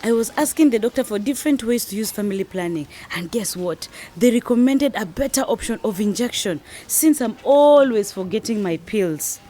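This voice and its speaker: A woman's voice: on the high side, lively intonation, moderate pace, hardly any pauses, dark tone, faint music behind. A woman playing a determined, persuasive young wife in an educational radio drama, advising her friend with assured emphasis. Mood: resolute.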